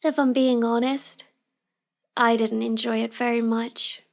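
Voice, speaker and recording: Shy young villainess, played by a woman: high-pitched, unhurried, apologetic and sweetly helpless, for intimate audio roleplay. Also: flat delivery, gravelly. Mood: sweet, guarded. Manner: halting, apologetic, soft-spoken and sweet.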